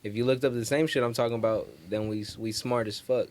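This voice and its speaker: A man's voice: medium pitch, steady tone, quick pace, a crowd in the background. A man in casual group banter, slangy and profane, often jumping in to interrupt.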